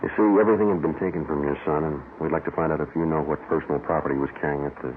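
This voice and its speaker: A man's voice: extremely low pitch, steady tone, racing, slight background noise. An actor playing a stoic male police detective sergeant, narrating a 1950s radio crime drama in a terse, matter-of-fact way. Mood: polite, businesslike. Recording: muffled old radio broadcast, loud music.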